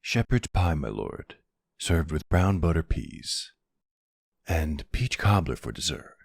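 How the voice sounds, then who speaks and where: A man's voice: extremely low pitch, very animated, vocal fry, breathy edge, dry recording, studio quality, full character voice. A man voicing a polite, obliging male butler serving dinner in an ASMR roleplay. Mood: courteous. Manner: courteous, brisk servant's politeness.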